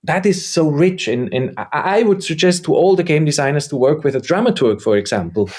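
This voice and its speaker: A man's voice: a little high, expressive, a little fast, a little mellow, slightly rough. A man whose first language is German, a guest theater maker in an interview, who talks earnestly and often restarts and repeats words. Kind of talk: conversational interview.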